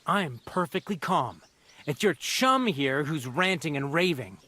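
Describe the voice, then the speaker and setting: A man's voice: fairly high pitch, lively intonation, measured pace, sharp tone, dry recording, full character voice. An actor playing a scornful, mocking local man in a video game, smug and derisive. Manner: sneering, derisive. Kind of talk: dramatic video game dialogue.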